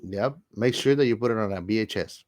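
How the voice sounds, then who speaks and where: A man's voice: lowish voice, a little fast, a little mellow, dry recording. A man co-hosting a casual film chat, talking in an informal, jokey way. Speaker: podcast co-host.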